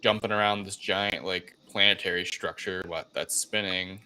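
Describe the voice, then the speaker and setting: Low, bright-voiced man casually recapping a film. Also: steady tone, moderate pace, clean tone, rough recording. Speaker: podcast host recapping a film.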